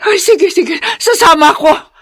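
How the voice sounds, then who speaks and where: A woman acting an anxious, compassionate middle-aged mother in a radio drama, breathy and emotional. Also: very high voice, measured pace, a bit bright, no echo, studio quality. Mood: urgent. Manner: exclaiming, emotional.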